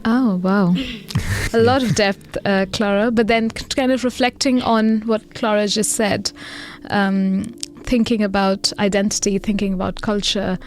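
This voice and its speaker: A woman's voice: mid-range voice, fairly even intonation, unhurried, soft background music. A woman co-hosting a podcast, putting questions to a guest in a conversational way. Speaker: podcast co-host. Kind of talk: conversational interviewing.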